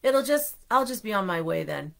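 A woman's voice: mid-range voice, very animated, moderate pace, creaky, fairly bright tone. A woman voicing an eager, tender-hearted male donut who longs to belong, in a children's storybook read-aloud. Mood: downcast, brave.

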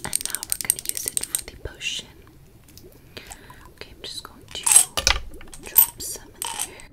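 A woman whispering as a sly, delighted potion-brewing witch in an ASMR roleplay, savoring and repeating her words in a breathy voice. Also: a little slow, natural voice. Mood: sly, pleased. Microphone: close desktop condenser microphone.

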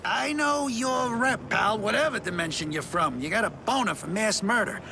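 An actor playing a wary, crude henchman in a video game, confrontational and gravelly. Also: animated, normal speed. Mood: suspicious. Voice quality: creaky, gravelly. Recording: loud ambient rumble behind.

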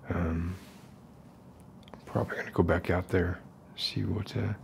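A man in his forties playing an unstable, falsely reassuring survivalist captor in an ASMR roleplay, speaking softly and haltingly with a gravelly voice. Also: sits low, little pitch movement, a little hiss or hum, full character voice. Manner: halting, hesitant, rambling. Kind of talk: ASMR roleplay, soft-spoken acted monologue. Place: dim concrete basement, indoors.

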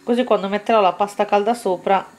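A woman's voice: mid-range voice, fairly even intonation, quick pace, a little mellow, a little hiss or hum. A woman talking viewers through a home cooking recipe step by step in a casual, chatty way. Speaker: cooking vlogger. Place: home kitchen table, indoors.

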